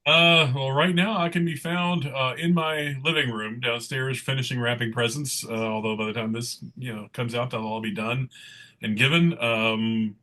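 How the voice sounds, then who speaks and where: A man's voice: medium pitch, steady tone, moderate pace, sharp tone. A man in casual conversation as a panel guest, talking in a loose, rambling way. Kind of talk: casual chat.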